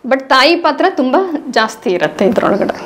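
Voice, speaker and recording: A woman's voice: sits high, big pitch swings, moderate pace, a bit bright, slight background noise. A woman with a North Karnataka Kannada accent, explaining prenatal care patiently as an interview guest. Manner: patient, teacherly, mixing in English words.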